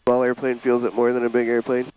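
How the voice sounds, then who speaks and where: A man's voice: medium pitch, completely flat delivery, fast, darkish tone, smooth. A man speaking casually as a pilot, explaining flying to his passenger over a headset in a small plane's cockpit. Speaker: private pilot and vlogger. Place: inside a small airplane cockpit in flight.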